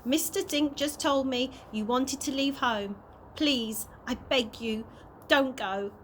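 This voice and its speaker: Woman acting a distraught, remorseful mother, highish voice. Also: slow, fairly bright tone. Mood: tearful pleading. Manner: emotional, pleading. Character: distraught, remorseful mother pleading with her daughter. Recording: noisy amateur home recording.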